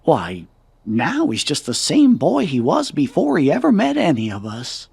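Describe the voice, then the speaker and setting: An earnest cartoon animal sidekick talks in a raspy, crackling voice, pitched fairly high. The thoughtful game dialogue bounces widely up and down. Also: male voice, wildly swooping pitch, unhurried, darkish tone, dry recording, exaggerated voice.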